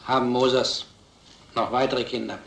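An actor playing a brisk, authoritative police lieutenant in a crime drama, giving curt commands. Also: male voice, medium pitch, level tone, gravelly, a bit bright. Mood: businesslike. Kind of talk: German film crime drama acting. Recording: echoey old film soundtrack, noisy.

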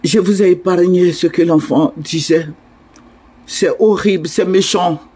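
A man's voice: high voice, fairly even intonation, a little slow, warm tone, husky. An older man from Côte d'Ivoire with an Ivorian French accent, making an indignant, pleading video address at night from indoors. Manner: indignant, pleading, repetitive appeals. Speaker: online commentator.

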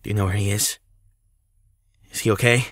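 A man's voice: lowish voice, fairly even intonation, brisk, fairly bright tone, no room sound, studio quality, natural voice. A man acting an emotional, pleading young man in an amateur audio drama, desperate for his missing brother. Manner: emotional, pleading, sighing delivery.

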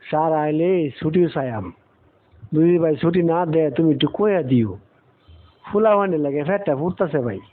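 Through a thin, phone-like recording comes a man acting a humble servant from a cartoon. His fairly high voice has a rural Bangladeshi accent and sounds weary and plaintive, always deferential. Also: expressive, fairly quick, close to an everyday voice. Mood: homesick, pleading. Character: weary, humble village father working as house servant. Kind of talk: cartoon dialogue.

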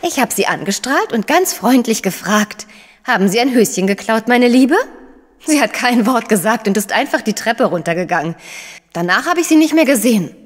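An actress playing an anxious but cheeky female journalist in a crime audio drama, expressive and agitated. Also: a little high, moderate pace. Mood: amused. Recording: reverberant room sound.

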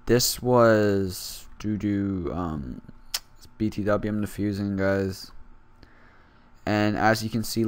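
A teenage boy hosting casual gameplay commentary, raspy from just waking up, rambling and self-deprecating with lots of fillers. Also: fairly low pitch, no room sound. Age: teens. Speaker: gaming channel host.

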